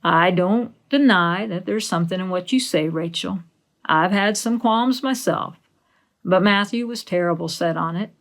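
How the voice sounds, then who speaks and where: Lady doing a stern middle-aged farm woman, plain and resigned, creaky voice, storybook character. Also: on the low side, natural voice. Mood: resigned, steady. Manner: measured, matter-of-fact.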